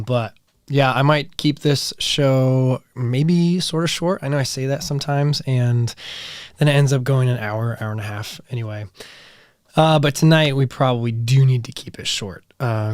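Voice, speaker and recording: An American man with a slightly hoarse voice, chatting casually and informally as he hosts a live hangout and reads out comments. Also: medium pitch, moderate pace, dry recording, studio quality. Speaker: livestream host. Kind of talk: casual live chat, reading viewer comments.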